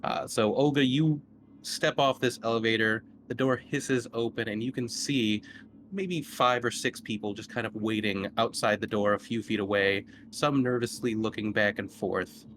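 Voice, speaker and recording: A man running a tabletop horror game as game master, setting the scene in measured narration. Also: lowish voice, a bit bright, slight background noise.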